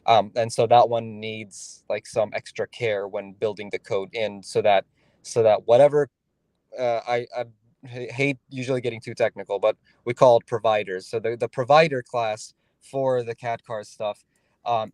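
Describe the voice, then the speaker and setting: A man speaking as a guest, explaining his team's work in a halting way with many restarts and fillers. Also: lowish voice, darkish tone, dry recording. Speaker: guest developer. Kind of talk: casual conversational interview.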